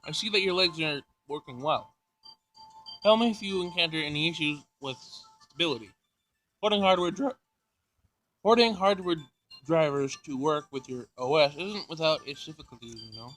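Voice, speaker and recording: A man's voice: a little high, moderate pace, fairly bright tone, faint music behind, natural voice, low-quality recording. A man voicing a proud, fussy robotics professor from a visual novel, chatty and opinionated. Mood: encouraging. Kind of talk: visual novel character reading.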